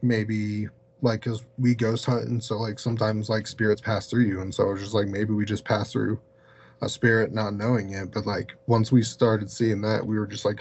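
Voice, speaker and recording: Low-voiced man telling a story, muffled sound. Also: darkish tone. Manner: halting, heavily peppered with "like". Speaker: podcast guest, paranormal investigator. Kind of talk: casual conversational storytelling.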